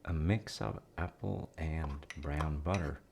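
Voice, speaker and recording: A man acts out a cozy, playful dad in an intimate audio roleplay. His voice is extremely deep with plenty of fry, murmuring casually to the listener as he bakes. A faint noise sits behind him. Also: slow pace, heavily acted. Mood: cozy, gently playful. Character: gentle, playful dad baking with his child. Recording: faint noise, kitchen sounds.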